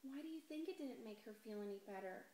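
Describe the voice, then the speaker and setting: A woman teacher with a fairly high voice reads a children's picture book aloud. She speaks expressively and deliberately, as a storyteller does to a class of young children. The room is echoey, with some noise behind her. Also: expressive, warm tone.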